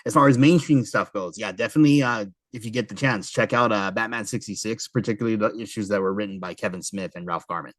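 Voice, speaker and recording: Quick-talking man with a dry, close sound. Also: lowish voice, a bit bright, dry recording. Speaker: podcast host. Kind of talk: casual chat about comic books.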